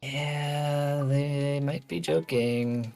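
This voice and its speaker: A video game streamer giving casual live commentary, rambling and thinking out loud, with opinionated, sarcastic asides. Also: mid-range voice, steady tone, very slow, gravelly, slight background noise. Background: game music.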